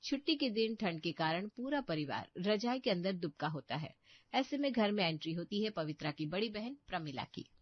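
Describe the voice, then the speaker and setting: A woman reading a cartoon bedtime story as narrator, in an even, clear storybook manner. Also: medium pitch, moderate pace, dry recording. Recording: dull, muffled studio audio.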